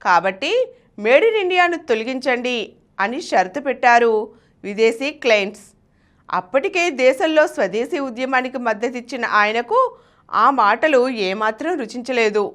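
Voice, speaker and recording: A woman with a Telugu accent presenting scripted biographical narration in an expressive, emphatic storytelling manner. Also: a little high, moderate pace. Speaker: channel presenter reading a biography.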